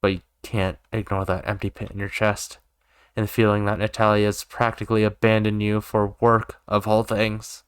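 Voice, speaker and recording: A man's voice: fairly low pitch, completely flat delivery, normal speed, clear, resonant tone, dry recording. A man reading a visual novel aloud as a gaming YouTuber, sometimes stepping in with casual asides.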